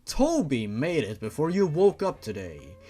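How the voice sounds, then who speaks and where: A man voicing a formal, stately supernatural father who speaks in measured, precise sentences. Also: mid-range voice, wildly swooping pitch, mellow, breathy edge, exaggerated voice.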